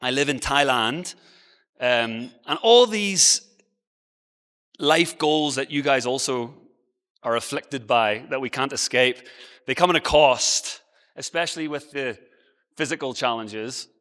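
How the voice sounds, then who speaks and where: Irish male speaker, early 40s, for a conference talk: bright, forceful voice, informal and self-mocking, heard in a big echoing hall. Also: medium pitch, measured pace, pauses often. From Ireland. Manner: informal, self-deprecating, conversational. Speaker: travel blogger and guest speaker. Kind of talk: motivational conference talk, personal storytelling. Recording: reverberant hall, long echo.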